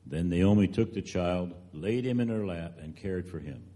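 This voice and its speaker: A man's voice: deep voice, expressive, normal speed, mellow. A man narrating a scripted dramatic reading of scripture at church, reading the text aloud.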